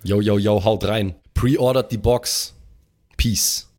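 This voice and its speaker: A man's voice: fairly low pitch, steady tone, slow, a little mellow. A man chatting casually as a podcast cast member, slangy and dropping English words into his talk. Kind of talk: casual chat.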